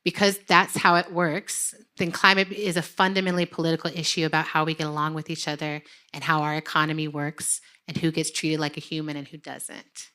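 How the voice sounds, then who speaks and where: A woman's voice: low voice, little pitch movement, fairly quick, hardly any pauses, sharp tone. A woman co-hosting a live podcast taping on stage, interviewing her sister and acting out her own reactions in quoted voices. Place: live event stage before an audience.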